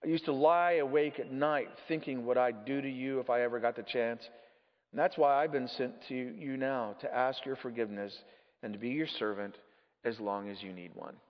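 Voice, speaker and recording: Male reader as a redeemed storybook soul, gentle and matter-of-fact, muffled reverberant sound. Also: mid-range voice, fairly even intonation, moderate pace, close to an everyday voice. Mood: frank, humble. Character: serene, smiling redeemed male spirit, mirthful and frank. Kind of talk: dramatic storybook reading. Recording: muffled, echoey large hall.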